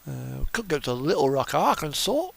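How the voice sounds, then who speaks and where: A man's voice: fairly high pitch, sing-song, normal speed, creaky. A man giving casual live commentary as he streams a game, chatting and thinking aloud.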